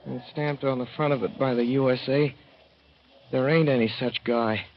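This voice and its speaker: A man's voice: medium pitch, steady tone, normal speed, slight background noise, lightly acted. An actor playing a slangy, heartbroken young man scolding himself, in a 1930s radio drama. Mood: rueful. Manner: slangy, rueful, talking to himself. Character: lovesick working-class young man, self-reproaching. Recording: dull old radio broadcast.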